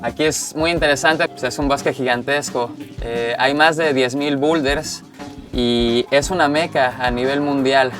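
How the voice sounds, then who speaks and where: A man's voice: medium pitch, fairly even intonation, a little slow, fairly bright tone, faint music behind. Mexican man in his twenties, earnest. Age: 20s. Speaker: climber. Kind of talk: interview.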